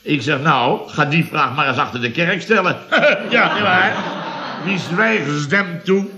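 An actor playing a grumbling, sarcastic middle-aged builder boss, blustering and exasperated, in 1970s Dutch radio comedy style. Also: male voice, a little high, expressive, unhurried, sharp tone, full character voice.

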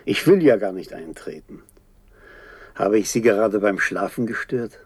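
An actor playing a probing interviewer in a radio play, soft-spoken but insistent in his questions. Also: on the low side, expressive, moderate pace, slightly rough, no echo. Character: probing male television interviewer visiting internees.

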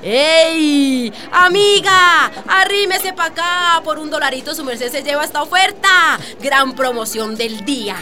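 Bold, high-voiced Colombian market seller. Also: female voice, lively intonation, unhurried, few pauses, sharp tone, full character voice. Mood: excited hawking. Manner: breezy, slangy, coaxing. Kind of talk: radio drama acting. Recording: music behind the voice.

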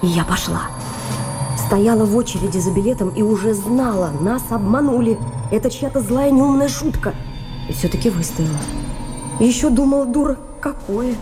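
A woman's voice: a little high, normal speed, lightly acted. An actress playing a sensitive, sympathetic woman, firm but pleading, in a radio drama. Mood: rueful. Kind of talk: Russian radio drama acting. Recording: radio play with clear background music.

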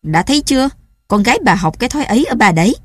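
A hot-headed village fisherman barks at his family in a raised, husky voice, as a woman reader puts him on. The audiobook sound is dry and close, with no room around it. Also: a little high, lively intonation, very fast, dry recording, voice pushed up. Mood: angry scolding. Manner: scolding, raised and loud. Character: gruff middle-aged fisherman father, quick-tempered.

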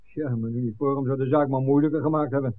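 An actor playing a level-headed, practical ally in a 1960s radio drama, reasoning in a matter-of-fact way. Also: male voice, medium pitch, fairly even intonation, fast, lightly acted. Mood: brisk, practical. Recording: tinny, band-limited old radio recording.

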